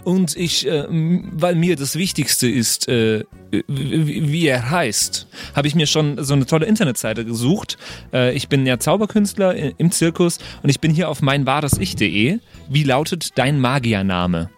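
Male podcast host, mid-range voice with very animated intonation, informal talk over light music. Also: on the high side, moderate pace. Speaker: podcast host leading the conversation. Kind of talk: casual chat about creating game characters. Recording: music playing behind. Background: background music.

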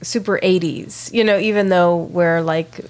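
A woman co-hosting a podcast in casual chat, her voice a little raspy from allergies. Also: lowish voice, fairly even intonation, a little slow, darkish tone. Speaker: podcast co-host.

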